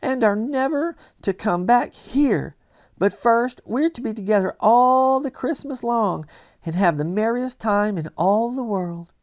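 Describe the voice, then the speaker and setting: Male reader as an affectionate small girl for a storybook: lifted pitch, brimming with childish glee. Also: lively intonation, measured pace, darkish tone, heavily acted. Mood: excited. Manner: gleeful, childish eagerness. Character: eager, affectionate little girl, brimful of glee.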